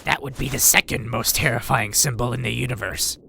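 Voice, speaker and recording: A man playing a grumpy, sardonic veteran robot medic in an audio drama, with a gravelly voice and a dry, blunt manner. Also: mid-range voice, fairly quick, pushed and bright, slight background noise. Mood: needling. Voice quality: gravelly, creaky.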